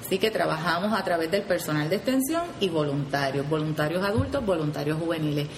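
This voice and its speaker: A woman's voice: low-pitched, expressive, fast talker, reverberant, noisy background. A Puerto Rican woman who leads a youth program, explaining it earnestly in an interview and often adding "¿verdad?" as she goes. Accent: Puerto Rican Spanish. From Puerto Rico. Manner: earnest, frequent "¿verdad?" tags and restarts. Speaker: 4-H program leader, guest.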